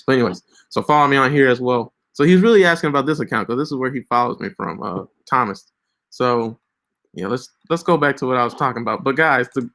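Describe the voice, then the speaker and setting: A man's voice: medium pitch, a bit bright. A man in his late twenties giving casual, preachy social media advice with lots of fillers and restarts. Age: 20s. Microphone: screen-share recording setup.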